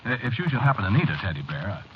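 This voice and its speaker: An actor playing an anxious, haunted man confessing a premonition haltingly in a 1940s style radio drama monologue. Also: fairly low pitch, sing-song. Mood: wry, hesitant. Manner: hesitant, halting, confiding, nervous. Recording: noisy, muffled vintage radio broadcast recording.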